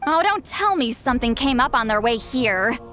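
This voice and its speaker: A woman's voice: a little high, big pitch swings, moderate pace, fairly bright tone, faint music behind. An actress playing a proud, fretful, easily exasperated shaman woman in an anime game, speaking bluntly. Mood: worried.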